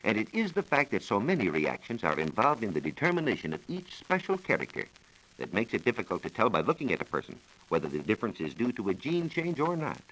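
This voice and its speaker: Low-voiced American radio science man, animated pitch. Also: low-quality recording. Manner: measured, formal, explanatory. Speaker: science reporter explaining research. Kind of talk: scripted 1940s radio science talk. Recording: old 1940s radio broadcast recording.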